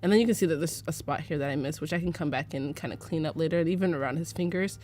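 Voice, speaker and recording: Chatty American woman demoing software live. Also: low voice, rapid-fire, a little hiss or hum. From United States (Arizona). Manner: casual, chatty, fillers like "like" and "um", laughs easily. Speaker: livestream presenter teaching photo editing.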